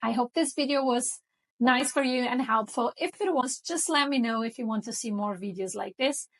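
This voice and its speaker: Bright-voiced woman talking through a tutorial. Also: fairly high pitch, normal speed. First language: Portuguese. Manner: chatty, explains step by step with frequent "okay?" checks. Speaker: tutorial host. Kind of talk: screen-recording software tutorial.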